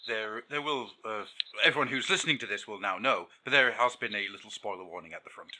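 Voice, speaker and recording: Male podcast co-host, lowish and very sharp-edged voice, informal and rambling. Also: fast, a little hiss or hum. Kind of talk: casual chat.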